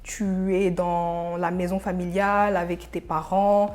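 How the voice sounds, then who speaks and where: Lower-pitched young woman from Senegal. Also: flat delivery, a little slow, a little mellow. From Senegal, of Burkinabe descent. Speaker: interview guest, coach. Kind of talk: casual interview talk.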